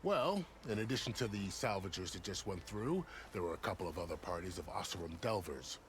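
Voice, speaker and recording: An actor playing a seasoned, plain-spoken soldier in a fantasy video game, explaining things in a wry, conversational way. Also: male voice, sits low, animated, fairly quick, slight background noise. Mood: matter-of-fact.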